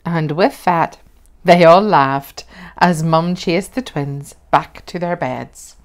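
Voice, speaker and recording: A woman reading a children's story aloud in an expressive, measured way. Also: low voice. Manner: slow, measured, animated read-aloud. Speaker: storybook reader.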